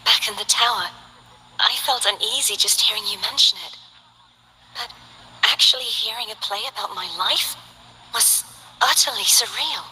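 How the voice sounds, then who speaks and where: A woman's voice: a little high, normal speed, long pauses, bright, forceful tone, slight background noise, rough recording. A woman voicing a friendly, cheerful young female dancer in a game, chatty and candid. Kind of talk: game voice lines.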